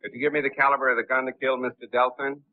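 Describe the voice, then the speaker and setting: An actor playing a suave, unflappable gentleman impostor in a 1930s film, speaking politely and smoothly. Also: medium pitch, flat delivery, rapid-fire, close to an everyday voice. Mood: polite, businesslike. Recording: muffled, tinny 1930s film soundtrack.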